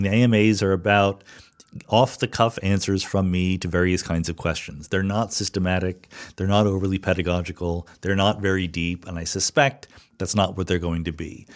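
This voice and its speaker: A man hosting a podcast, giving informal, off-the-cuff explanatory answers and qualifying his points as he goes. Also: lowish voice. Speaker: podcast host. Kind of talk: off-the-cuff spoken answers to listener questions.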